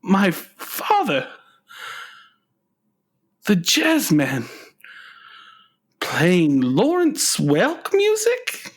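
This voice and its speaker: A man plays an earnest grown son, anguished over his music, in a comic radio drama. His voice is slightly high and he speaks slowly, halting for melodramatic weight. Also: wildly swooping pitch, full character voice. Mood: shocked, hurt. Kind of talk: comic radio play acting.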